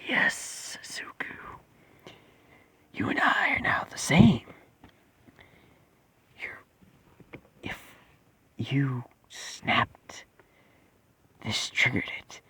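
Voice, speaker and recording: A man's voice: mid-range voice, wildly swooping pitch, bright, forceful tone, heavily acted. Man putting on a sinister whispering creature. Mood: menacing. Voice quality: breathy whisper. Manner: slow, menacing, drawn-out delivery. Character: sinister male creature voice inside a boy's head, darkly joking.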